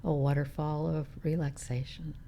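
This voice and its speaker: A woman teaches meditation in a low, very mellow voice with a creaky edge. She guides calmly and soothingly, leaving long pauses between short phrases. Her delivery stays unhurried and relaxed. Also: slight background noise.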